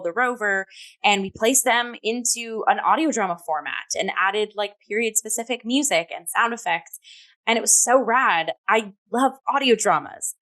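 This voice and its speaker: A woman co-hosting a theatre review show, talking casually in an enthusiastic, expressive way. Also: medium pitch, rough recording. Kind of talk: casual chatty review talk.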